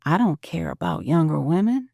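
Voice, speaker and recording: A woman playing a devoted, romantic middle-aged husband in an audio drama, speaking softly and earnestly. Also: deep voice, very animated, normal speed, gravelly, no room sound, studio quality, exaggerated voice. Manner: soft, earnest, intimate.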